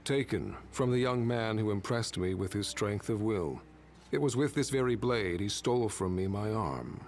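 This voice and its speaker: A man's voice: lowish voice, lively intonation, normal speed, a little mellow, a little hiss or hum, close to an everyday voice. A man voicing a grave ancient warrior guardian. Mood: solemn. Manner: archaic, solemn, grave pronouncements. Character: ancient stern male warrior guardian, lordly. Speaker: video game character. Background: game score music.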